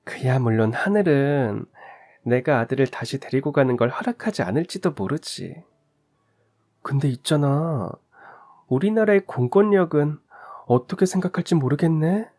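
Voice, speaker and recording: A man's voice: a little high, expressive, normal speed, mellow, voice pushed up. A man voicing a scornful, scheming birth mother who taunts and mocks in a storybook reading. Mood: mocking. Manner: mocking, smug, taunting.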